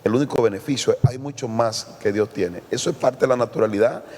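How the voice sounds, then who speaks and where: Mexican pastor telling stories in a reverberant room. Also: male voice, mid-range voice, fairly quick. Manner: lively anecdotes with quoted dialogue. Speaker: guest pastor. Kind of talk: casual storytelling in a church podcast.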